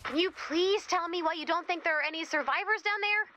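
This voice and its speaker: A very high, perky young-sounding explorer. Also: female voice, fairly quick, sharp tone. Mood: puzzled. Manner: eager, inquisitive. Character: perky, curious, focused female explorer. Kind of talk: video game voice acting.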